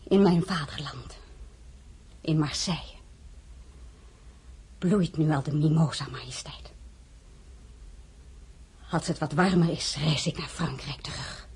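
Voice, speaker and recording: An actress playing a proud, outspoken young crown princess with a husky, breathy voice in a radio drama. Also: female voice, deep voice, takes it slowly, pauses often, a little mellow, exaggerated voice. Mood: defiant. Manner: outspoken, dignified. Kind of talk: dramatised radio play acting.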